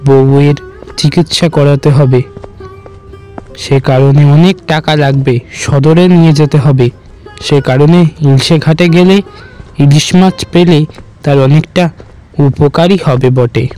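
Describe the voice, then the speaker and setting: A man's voice: a little high, steady tone, slow pace, long pauses, warm tone, faint music behind, rough recording. A man narrating a scripted horror story in a dramatic storytelling style.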